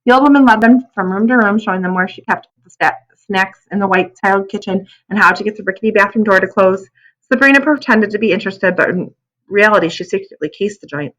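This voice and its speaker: A woman narrating a children's book aloud as an expressive storyteller. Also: mid-range voice, fast talker, rough recording.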